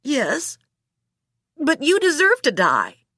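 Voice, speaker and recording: A woman's voice: high voice, wildly swooping pitch, takes it slowly, sharp tone, dry recording, clean studio sound, pitched above the performer's own voice, full character voice. A woman voicing a wry, teasing, sensible female character in audiobook dialogue. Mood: reproachful. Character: wry, sensible woman calming her hot-headed male friend.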